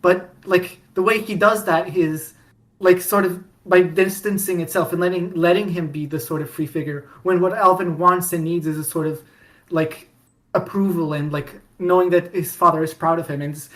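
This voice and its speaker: Rambling, eager woman with a low voice. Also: normal speed. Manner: rambling, lots of restarts and fillers; enthusiastic and pleading. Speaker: podcast co-host. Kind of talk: casual fandom chat.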